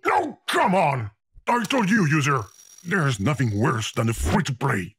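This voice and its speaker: An actor voicing a melodramatic, excitable sentient computer program in a video game, theatrical and swinging between gloom and panic. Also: male voice, a little high, unhurried, breathy edge, exaggerated voice. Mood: exasperated. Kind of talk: video game character voice acting.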